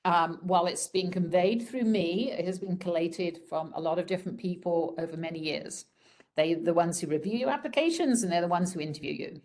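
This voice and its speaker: A woman with a croaky voice from a respiratory virus, giving an informal slide presentation to students on a video call in a conversational, frank way. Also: on the low side, a little fast, a little mellow, a little hiss or hum. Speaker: program director presenting a webinar.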